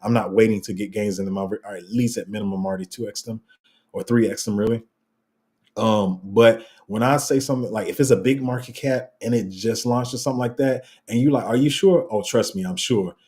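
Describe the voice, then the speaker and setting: A man with a deep, rumbling voice hosting a casual livestream, reading out viewer comments and rambling along with sudden excited jumps in pitch and a slight cough. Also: brisk. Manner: rambling and animated, voice jumping up when excited. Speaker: crypto livestream host.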